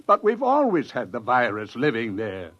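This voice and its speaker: An actor playing a male research scientist who explains things in a brisk, matter-of-fact way, in 1950s radio drama style. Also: medium pitch, huge pitch swings. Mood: explaining. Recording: dull 1950s radio broadcast.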